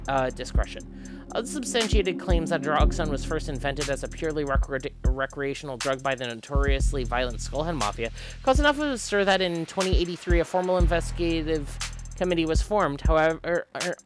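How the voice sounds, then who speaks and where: A game streamer giving casual, emphatic commentary and reading game text aloud on a livestream. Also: medium pitch. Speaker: streamer hosting a visual novel playthrough.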